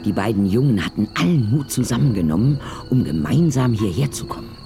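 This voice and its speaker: A man's voice: lowish voice, lively intonation, brisk, gravelly. A man narrating a scripted audio drama in a suspenseful storytelling style.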